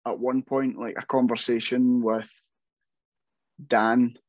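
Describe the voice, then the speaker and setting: A man's voice: medium pitch, level tone, takes it slowly, dry recording. A young man, a metal vocalist, chatting casually in an interview over a video call, rambling with many restarts and self-deprecating asides. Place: home room, indoors. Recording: dull, dry video call audio.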